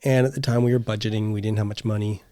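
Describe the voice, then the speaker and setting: A man's voice: on the low side, little pitch movement, fast, warm tone, no echo, studio quality. A middle-aged man chatting casually as a podcast co-host. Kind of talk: casual conversational chat.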